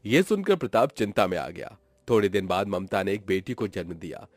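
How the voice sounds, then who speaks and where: A man's voice: on the low side, very animated, fairly quick, dry recording. A man narrating a scripted story in a dramatic storytelling style. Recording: music behind the voice.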